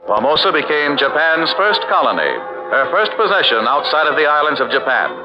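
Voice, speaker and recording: A man's voice: fairly high pitch, sing-song, a little fast. A man narrating a 1940s radio documentary drama in a formal, declamatory way. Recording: dull old radio broadcast with faint music.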